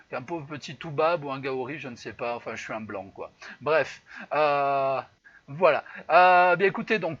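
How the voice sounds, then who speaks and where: A man from France hosts a live online improv show, his voice sitting a little high for a man. He rambles in a friendly way, full of fillers and restarts. The stream audio sounds dull and lo-fi. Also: a bit bright. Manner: chatty and loose, with many fillers and restarts. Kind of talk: casual live-stream hosting. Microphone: computer mic on a live stream.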